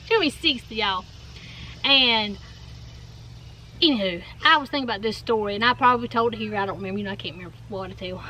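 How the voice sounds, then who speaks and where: A woman in her forties with a Southern US accent, telling stories in an animated, chatty way to viewers outdoors in a golf cart. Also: mid-range voice, fast, pauses often, a little hiss or hum, low-quality recording. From North Carolina. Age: 40s. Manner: animated storyteller, addresses viewers as y'all. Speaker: co-host. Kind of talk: casual storytelling chat. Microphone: fixed camera in front.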